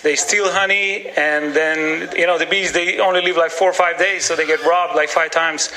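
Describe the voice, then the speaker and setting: Comedian, bright and easygoing. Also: male voice, a little high, moderate pace, rough recording. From Slovenia. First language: Slovenian. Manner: easygoing, deadpan joking. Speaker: stand-up comedian. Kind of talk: interview over a studio link. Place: small radio studio.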